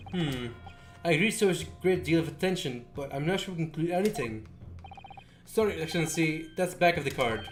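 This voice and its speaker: A man's voice: on the high side, lively intonation, fairly quick, faint music behind. A man livestreaming a visual novel, reading its dialogue aloud in a theatrical way. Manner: theatrical, acting out characters while reading. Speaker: streamer.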